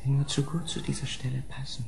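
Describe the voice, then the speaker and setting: A man playing a half-mad nobleman gripped by dread in a gothic horror radio play, speaking in a feverish, halting, gravelly voice. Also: medium pitch, completely flat delivery, darkish tone, slight background noise. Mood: ominous. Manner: feverish, halting, with eerie laughter and drops into whispering.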